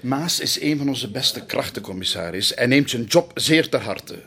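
An actor with a Flemish accent playing a curt, stiff, defensive museum security chief in an audio drama. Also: male voice, medium pitch, animated, moderate pace, vocal fry, a bit bright. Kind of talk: naturalistic audio drama acting.

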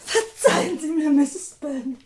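A young housemaid in an old radio crime play. Her extremely high voice moves around a lot, agitated and defiant, on a very noisy, echoey recording. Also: lively intonation, measured pace. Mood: tearful. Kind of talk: 1960 German radio play acting.

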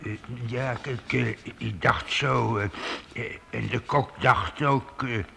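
A man playing a talkative, anxious medieval gatekeeper in a Dutch radio drama, speaking haltingly and earnestly with a gravelly voice. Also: medium pitch, a bit bright, full character voice. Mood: hesitant pleading. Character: talkative, anxious, pleading medieval castle gatekeeper with big belly. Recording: radio play with faint background noise.

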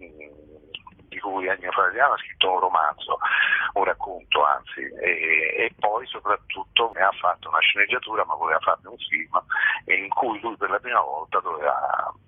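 A deep-voiced man with a husky tone talks over a tinny phone line. He is an interviewed actor, thinking aloud and often repeating words as he explains. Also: no echo. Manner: halting, thoughtful, repeats words while explaining. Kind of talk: spontaneous interview talk. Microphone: telephone.

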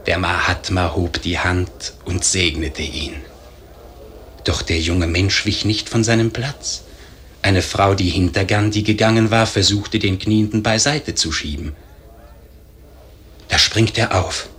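A man playing a grave storyteller, narrating a literary radio play in measured, formal diction. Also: deep voice, fairly even intonation, a bit bright, a little hiss or hum. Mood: urgent, grave. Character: grave male storyteller narrating a historic tragedy.

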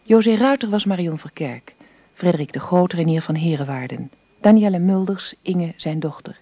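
A woman's voice: on the low side, lively intonation, normal speed, warm tone, slightly rough, no echo, muffled recording. A woman announcer reading scripted credits for a radio drama series.

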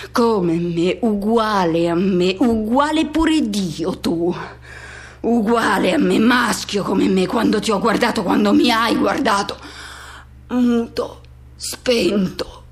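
A woman's voice: mid-range voice, breathy edge. An actress playing a man who recalls his first teenage love in a radio theatre monologue, in fragmented, repetitive, poetic phrases. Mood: intimate, yearning.

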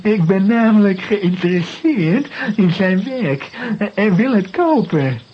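A man's voice: high-pitched, animated, takes it slowly, husky, full character voice. A man playing a scheming, threatening art dealer in a children's audio play, with a sly, theatrical delivery. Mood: sly, businesslike. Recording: dull, noisy old audio play recording.

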